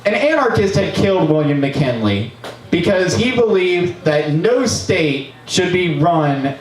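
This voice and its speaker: A man in his twenties doing a profane, animated comic history monologue at an open mic in a small bar, sometimes breaking into shouts. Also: high voice, measured pace, a little hiss or hum. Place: small bar stage, indoors. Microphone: stand mic, distant camera. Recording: roomy bar, camera far off.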